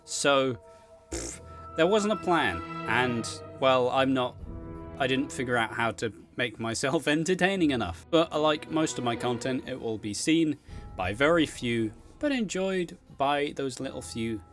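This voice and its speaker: A man with an average-pitched voice rambles casually as a solo host. He swears freely and pokes fun at himself in a giggly, joking manner. Music plays behind his voice. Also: animated, a little slow, a bit bright. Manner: sweary, self-deprecating, giggly. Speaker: host, streamer and content creator. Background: background music.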